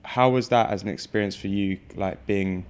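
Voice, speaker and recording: A man's voice: fairly low pitch, normal speed, darkish tone, clear, resonant tone. A man hosting an interview, asking long rambling questions full of restarts. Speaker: podcast host. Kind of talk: casual interview chat.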